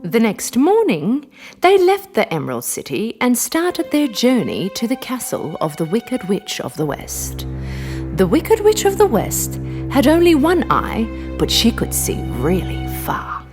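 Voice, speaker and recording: A woman narrating a scripted children's fairy tale in an expressive, dramatic way. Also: fairly high pitch, unhurried. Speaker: storyteller, narrator. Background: music.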